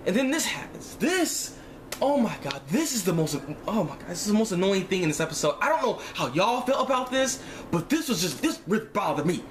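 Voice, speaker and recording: A man giving a casual, rambling review of an animated series episode in slangy, exasperated speech with emphatic repetition. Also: high voice, a little hiss or hum. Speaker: online reviewer.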